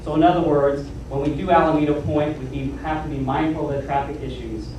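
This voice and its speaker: A man's voice: fairly high pitch, fairly even intonation, brisk, darkish tone. A man gives a formal, emphatic campaign speech as a council candidate, repeating his key phrases. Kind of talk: prepared campaign speech at a forum. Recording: echoey room from far off, faint noise.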